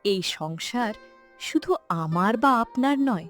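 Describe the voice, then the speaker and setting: Woman playing a devoted young wife, animated pitch. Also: takes it slowly, soft background music. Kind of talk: cartoon drama acting.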